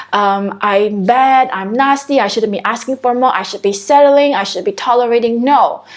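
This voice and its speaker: Chatty Singaporean woman, higher voice. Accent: Singaporean English. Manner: chatty, repeats and restarts phrases, talks directly to viewers. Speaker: spiritual coach hosting a live video. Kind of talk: casual motivational live stream. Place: her own home.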